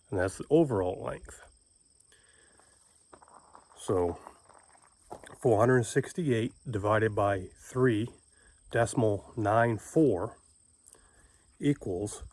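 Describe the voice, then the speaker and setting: Male how-to host, lowish voice, plain-spoken and folksy, informal step-by-step delivery. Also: darkish tone, no echo. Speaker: homestead video host and ham radio operator. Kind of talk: casual how-to explanation.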